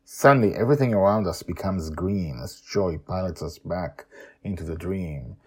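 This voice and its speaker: A man hosting a storytelling podcast, narrating with animated, chatty asides. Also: low-pitched, moderate pace, slightly rough.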